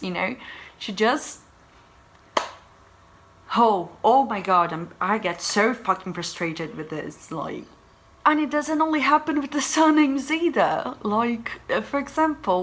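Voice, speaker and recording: A woman's voice: medium pitch, a bit bright. A woman whose first language is Portuguese, talking through a book review in a casual vlog, emphatic and exasperated as she rants.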